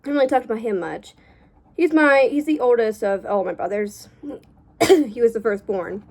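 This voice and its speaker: A woman's voice: a little high, animated, normal speed, darkish tone. A young woman vlogging casually while she draws, chatty and rambling, with a slight cough and a voice she says she is losing. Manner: chatty, rambling, self-correcting. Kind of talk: casual drawing vlog chat.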